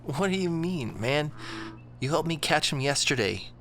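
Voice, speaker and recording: A man's voice: on the high side, animated, moderate pace. A man playing a laid-back young bandmate. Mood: casual. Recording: clear background noise.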